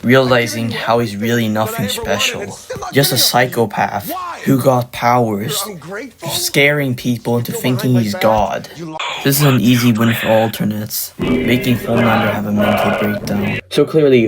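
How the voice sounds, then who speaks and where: A man's voice: mid-range voice, moderate pace, crowd noise. A man narrating a scripted commentary video in the style of an explainer on fictional match-ups.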